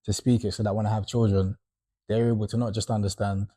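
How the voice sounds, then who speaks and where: A man's voice: on the low side, little pitch movement, fast talker, warm tone, slightly rough. A man talking earnestly and reflectively in a casual group discussion. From Britain. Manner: earnest, reflective, uses fillers like innit. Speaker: panel guest.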